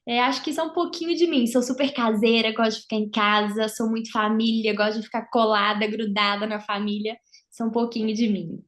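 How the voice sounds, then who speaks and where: A young woman from Argentina who grew up in Brazil, speaking with a Mineiro accent as a guest in a relaxed interview, reflective and sprinkled with fillers. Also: a little high, brisk. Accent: Mineiro (Minas Gerais) Brazilian Portuguese. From Argentina, raised in Brazil. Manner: warm, reflective, with frequent filler "é" and "né". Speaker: guest, social entrepreneur. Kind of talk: casual interview conversation.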